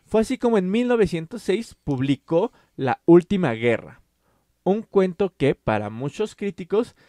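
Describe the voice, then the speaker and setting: A man's voice: high-pitched, very animated, normal speed, dark tone, no echo, studio quality. A man hosting a casual literary podcast, explaining at length with fillers and restarts. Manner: explanatory, many fillers and self-corrections. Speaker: podcast host.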